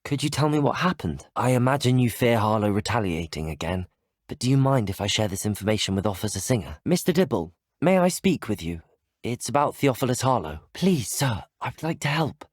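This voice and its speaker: A man's voice: medium pitch, sing-song, brisk, no echo. The earnest, well-spoken British schoolboy hero of a fantasy video game, heard up close in a dry studio, his melody rising and falling. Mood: polite, earnest. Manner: courteous, articulate, earnest. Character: polite, earnest, determined teenage male student wizard, a game hero. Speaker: video game player character. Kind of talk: scripted video game dialogue.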